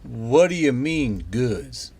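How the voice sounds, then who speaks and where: A man's voice: mid-range voice, sing-song, slow pace, mellow, slightly rough, full character voice. Man acting a blunt, wary tall adventurer. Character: tall, gruff but wary male adventurer.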